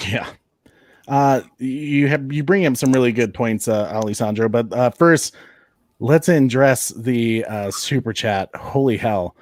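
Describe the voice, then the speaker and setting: A man's voice: medium pitch, a little slow, a little mellow. A man hosting a casual livestream, chatting informally with slang and putting questions to his panel. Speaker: livestream host. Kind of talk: casual chat.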